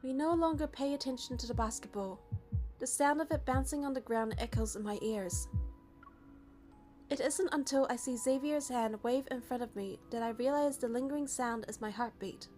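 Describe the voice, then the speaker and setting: A woman's voice: high-pitched, moderate pace, a little mellow, faint music behind. A woman narrating a game playthrough as a first-person story, reading out the text and dialogue.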